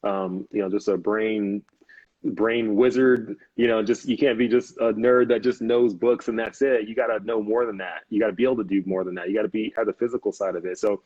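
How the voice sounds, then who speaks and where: A man's voice: lowish voice, steady tone, brisk, a little mellow, no room sound. A man in his thirties, a Navy officer guest on a live interview, talking easily with many fillers and restarts. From United States. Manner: easygoing, laughs often, many "um"s and restarts. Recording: dull, lo-fi video call audio.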